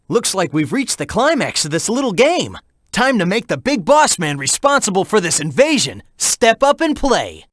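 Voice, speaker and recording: An actor voicing a cocky, upbeat teenage hedgehog hero in a video game, cheeky and encouraging. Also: male voice, sits high, no room sound, studio quality, full character voice. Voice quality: youthful, bright, energetic. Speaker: video game character voice clips. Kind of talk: game voice acting, mission hints. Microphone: dry studio mic.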